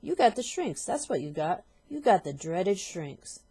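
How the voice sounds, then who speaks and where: A woman voicing a nasty old husband who taunts his wife in a loud, shouty way. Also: on the low side, big pitch swings, a little slow, slightly rough, full character voice. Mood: gloating taunts.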